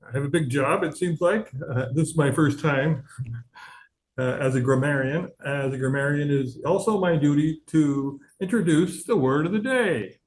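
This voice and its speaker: A man speaking as grammarian at an online club meeting, halting and full of fillers, over a video call. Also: a little high. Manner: halting, many fillers and restarts. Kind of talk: hesitant club meeting remarks.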